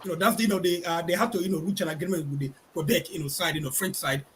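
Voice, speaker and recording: A man's voice: a little high, fairly even intonation, fast, a little hiss or hum. A man on a live panel makes a comparison in a rambling way, filling his talk with "you know". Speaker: panel guest. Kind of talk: heated live panel discussion.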